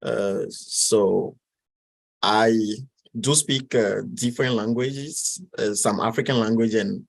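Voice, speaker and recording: A man in his twenties from Togo with a Togolese French accent, answering interview questions in a halting way, with many fillers and restarts. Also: on the low side, creaky, poor audio. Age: 20s. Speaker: guest.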